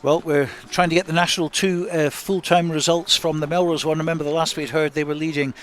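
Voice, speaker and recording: A man's voice: fairly high pitch, level tone, a little fast, slight background noise. A man hosting a radio sports show, reading out results in a live round-up.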